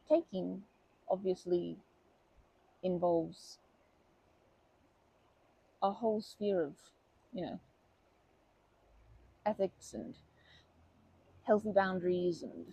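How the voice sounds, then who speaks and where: A woman voicing a kindly, chatty old grandma in an ASMR comfort roleplay, rambling gently with halting phrases and doting endearments. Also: on the low side, dull audio, heavily acted. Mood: gentle, reflective. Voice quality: husky, creaky voice.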